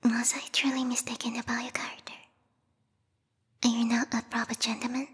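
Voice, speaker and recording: A woman voicing a lethal yandere vampire bat girl, a queen's knight with formal, theatrical speech, in a breathy whispered ASMR roleplay. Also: a little high, little pitch movement, brisk, fairly bright tone. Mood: wounded, suspicious. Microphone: close binaural microphone. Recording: reverberant hall-like echo.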